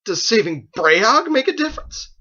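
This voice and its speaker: Man acting out an anxious, high-pitched protesting squire. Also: sing-song, moderate pace, bright, forceful tone, lo-fi sound, exaggerated voice. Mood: frantic, indignant. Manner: exclaiming, incredulous, protesting. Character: anxious, indignant young male squire pleading with his master.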